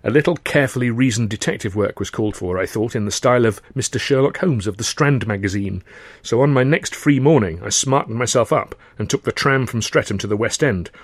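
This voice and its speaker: An Englishman reading first-person fiction aloud as an expressive audiobook narrator, with an English accent. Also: on the low side, no echo. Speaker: author and narrator reading his novel.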